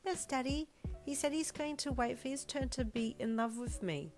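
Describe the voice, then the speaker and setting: A woman's voice: high voice, normal speed, darkish tone, voice pushed up, natural voice. A woman voicing a sweet, earnest little girl in a story, childlike and easily flustered. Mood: earnest, affectionate.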